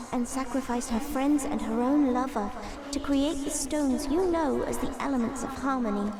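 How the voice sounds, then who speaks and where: A woman's voice: a little high, close to an everyday voice. A woman playing a dying magical tree seed spirit in a fantasy audio drama, speaking in a formal, grave, earnest way.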